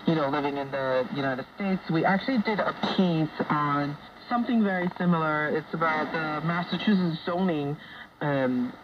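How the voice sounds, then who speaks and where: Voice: medium pitch, moderate pace. A speaker in a casual radio discussion, telling anecdotes in a chatty way and acting out reactions. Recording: distant, dull, noisy shortwave radio broadcast.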